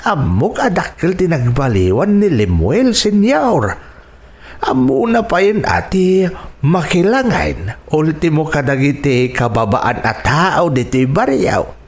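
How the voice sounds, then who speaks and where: A Filipino man playing a good-natured, deferential village farm worker in a radio drama, with respectful, drawn-out phrasing. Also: fairly high pitch, sing-song. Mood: respectful, approving.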